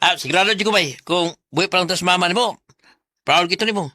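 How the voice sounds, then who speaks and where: A man's voice: high-pitched, fast talker, pushed and bright, no room sound, studio quality. A man acting a friendly, encouraging young buddy in a radio drama, with a Filipino Bisaya accent. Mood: chummy.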